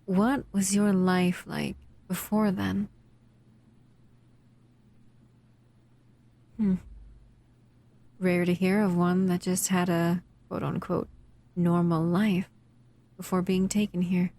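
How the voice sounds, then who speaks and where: A woman's voice: fairly low pitch, level tone, takes it slowly, warm tone, no room sound. A woman voicing a captive female shadow monster who soothes and cuddles the listener in a close, intimate audio roleplay. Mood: calm, confiding. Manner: soothing, intimate, often whispering.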